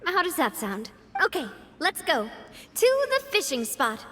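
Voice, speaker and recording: High-voiced, gracious young lady. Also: sing-song, unhurried, sharp tone, heavily acted. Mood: friendly, inviting. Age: young adult. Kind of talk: anime game voice acting. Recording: music behind the voice. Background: game music.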